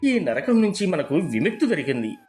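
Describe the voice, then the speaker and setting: Man acting a humble, animated poor gardener. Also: a little high, fairly quick, faint music behind. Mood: excited, relieved. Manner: deferential, earnest. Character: humble, honest poor male gardener, tearful, devoted husband. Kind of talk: dramatised moral storybook dialogue.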